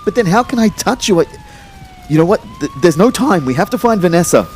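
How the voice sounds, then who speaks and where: A man's voice: high voice, big pitch swings, darkish tone, slight background noise. A man acting a bewildered, stammering young man caught in a disaster in an audio drama. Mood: alarmed, disbelieving. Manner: halting, stammering.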